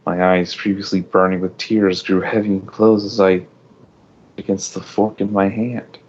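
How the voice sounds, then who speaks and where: A man's voice: low voice, flat delivery, moderate pace, a little mellow. A man giving an amateur, melodramatic reading of story narration, stumbling over words now and then. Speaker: fan fiction reader. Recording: dull lo-fi home recording.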